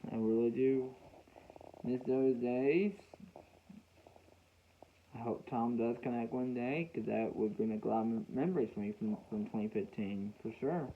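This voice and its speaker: A man talking casually and reminiscing to viewers as a hobbyist host, in a halting way with repeated phrases. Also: mid-range voice, a little hiss or hum. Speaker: weather radio hobbyist hosting a video. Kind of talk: casual, reminiscing vlog talk. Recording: dull, lo-fi home recording.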